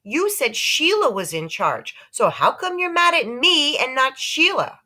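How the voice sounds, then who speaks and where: A woman's voice: high-pitched, big pitch swings, unhurried, pushed and bright, pitched above the performer's own voice, exaggerated voice. A woman voicing an aggrieved, bossy grade-school boy with a blunt, complaining manner, in a storybook read aloud. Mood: indignant.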